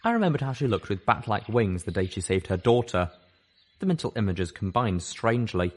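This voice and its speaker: A man reading a first-person fan fiction story aloud in a measured, expressive way. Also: lowish voice. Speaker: audiobook reader.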